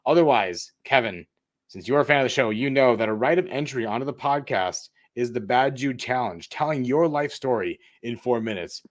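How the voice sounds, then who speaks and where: A man hosting a podcast, friendly and energetic as he plugs the show and welcomes his guest. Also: medium pitch, moderate pace. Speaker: podcast host.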